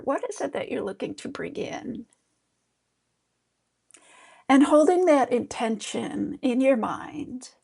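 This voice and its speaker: Slow, gravelly-voiced woman teacher. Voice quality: high voice. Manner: gentle, encouraging, with fillers and restarts. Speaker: yoga therapist teaching mantra. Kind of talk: guided meditation lesson.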